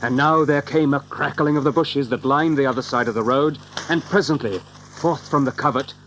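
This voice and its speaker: A man's voice: medium pitch, normal speed, a bit bright, slight background noise, rough recording. A man narrating an adventure audiobook in a storytelling manner with old-fashioned literary phrasing. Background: music under the narration.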